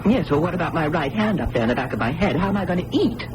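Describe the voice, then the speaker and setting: A woman's voice: sits low, lively intonation, fast. Female hospital patient for radio drama: chatty, questioning, girlish, very varied intonation; noisy vintage recording. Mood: bright, chatty. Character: chatty, girlish, bewildered amnesiac woman patient. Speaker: actress playing a hospital patient. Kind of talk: 1980s radio drama acting.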